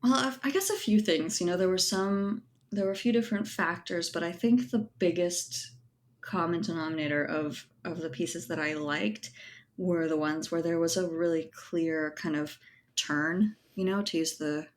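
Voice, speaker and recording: A woman with a distinctly low voice speaks as a guest in a casual interview. She explains her thinking thoughtfully, sprinkling in "you know" and "like" as she works through each point. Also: moderate pace, a little hiss or hum. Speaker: interview guest, writer and editor. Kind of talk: casual conversational interview answers.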